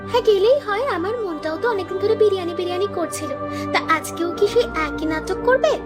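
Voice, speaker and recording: A woman's voice: very high-pitched, lively intonation, fast. A woman playing a crafty, pert young female swindler who grumbles, in a Bengali cartoon. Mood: scheming. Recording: loud music behind the voice.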